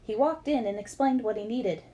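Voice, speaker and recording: A woman's voice: on the high side, normal speed, warm tone, a little hiss or hum. A woman reading a memoir aloud as scripted storybook narration. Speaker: reader of a book aloud.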